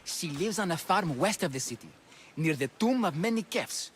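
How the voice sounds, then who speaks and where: An actor playing a gushing, lovesick ancient Greek athlete in a video game, fretful and theatrical. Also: male voice, sits high, animated, moderate pace, slight background noise. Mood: grateful, eager. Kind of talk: video game cutscene acting.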